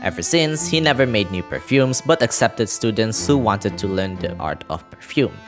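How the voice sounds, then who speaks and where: Male recap narrator: lowish register, animated melody, scripted with offhand asides, faint music underneath. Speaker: video creator narrating a game story recap.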